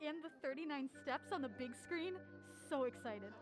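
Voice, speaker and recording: A mild-mannered woman with a high voice and dry wit. She banters like a devoted film geek in an audio drama, faint music behind her. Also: animated, normal speed. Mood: keen, mild. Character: mild-mannered, film-obsessed woman, video store assistant manager. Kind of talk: naturalistic audio drama dialogue.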